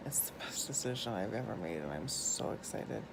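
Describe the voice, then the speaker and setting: A man in a hospital room, exhausted and groggy after surgery, speaking quietly and slowly, a little away from the phone. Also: lowish voice, lively intonation, creaky, in a whisper. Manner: quiet, drained, slow. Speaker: vlogger.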